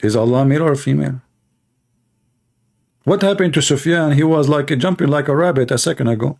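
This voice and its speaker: A man's voice: a little high, fairly quick, creaky, darkish tone. A man hosting a livestream debate, talking in a sarcastic, mocking, rambling way with lots of fillers.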